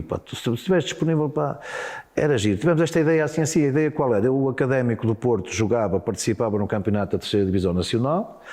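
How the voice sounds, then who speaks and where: A man's voice: mid-range voice, mellow, in a large hall. A middle-aged man with a European Portuguese accent, a former handball player telling stories in an interview, stumbling and repeating words. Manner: hesitant, many repeated words and restarts. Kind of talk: relaxed interview storytelling.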